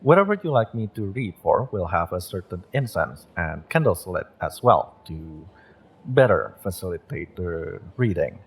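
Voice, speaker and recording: A man acting a gentle, courteous witch who runs a magick shop and reads tarot, speaking politely and a little hesitantly in a roleplay audio. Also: low voice, sing-song, moderate pace, husky, full character voice. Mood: attentive, slightly shy. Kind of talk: roleplay audio, acted monologue to the listener.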